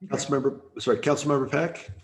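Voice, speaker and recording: A man chairing a council meeting as mayor, brisk and businesslike as he calls on members. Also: mid-range voice.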